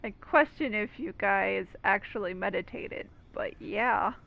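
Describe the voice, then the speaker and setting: A woman's voice: mid-range voice, unhurried, vocal fry, muffled recording, a little hiss or hum. A woman giving casual, teasing, wry commentary while playing a video game. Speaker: gamer commentator. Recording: game music faintly behind.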